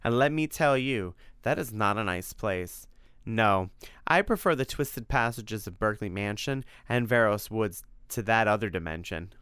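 A man's voice: lowish voice, expressive, normal speed, a bit bright, clean tone, no echo, clean studio sound. A man voicing a big, ugly but good-natured storybook monster who talks in a folksy, mock-grand way. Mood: chatty. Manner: folksy mock-grand speech, eager to explain.

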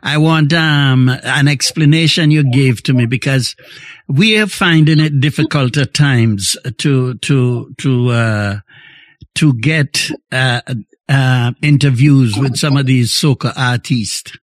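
A man hosting a casual interview, speaking haltingly and repeating words as he goes. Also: medium pitch, no echo, clean studio sound. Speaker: interviewer and host.

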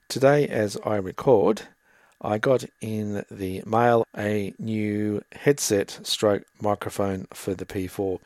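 Low-voiced man demoing podcast gear. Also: a little mellow, no echo, clean studio sound. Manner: plain, methodical, explaining step by step. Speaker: gear reviewer. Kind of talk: casual product demo. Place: room with air conditioner running. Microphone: headset boom mic, then desktop dynamic mic.

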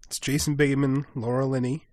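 A man co-hosting a podcast in casual chat, animated and acting out quick asides. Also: medium pitch, no room sound. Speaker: podcast co-host.

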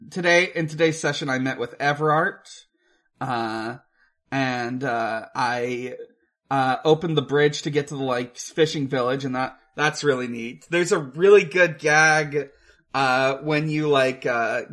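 A man's voice: medium pitch, a little slow, fairly bright tone. A man co-hosting a podcast in casual chat, speaking hesitantly with many filler words. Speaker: podcast co-host. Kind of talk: casual chat about films and video games.

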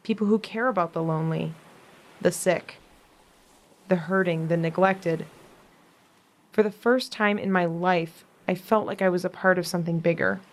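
A woman acting in a modern audio drama as a gentle, ailing young sister, earnest and reflective, with quiet resolve. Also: fairly low pitch, fairly even intonation, normal speed, pauses often, natural voice. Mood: wistful, earnest.

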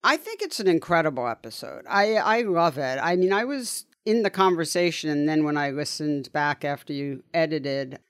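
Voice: medium pitch, animated, moderate pace, hardly any pauses, clean tone, no room sound, clean studio sound. A podcast host chatting with a co-host and interviewing a guest, enthusiastic and chatty, with plenty of fillers and restarts. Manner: enthusiastic, warm-hearted, chatty with many fillers. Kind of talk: conversational podcast chat.

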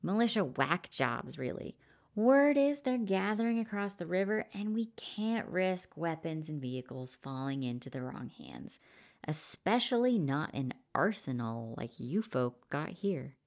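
A woman voicing a laid-back man guarding a bridge, speaking calmly in a casual drawl. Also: a little high, unhurried, gravelly, full character voice.

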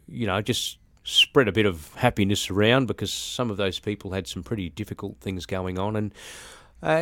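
An Australian man in his forties, a musician chatting as an interview guest, halting with fillers and restarts. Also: low-pitched, fairly bright tone, no room sound, studio quality. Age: 40s. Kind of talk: casual interview talk.

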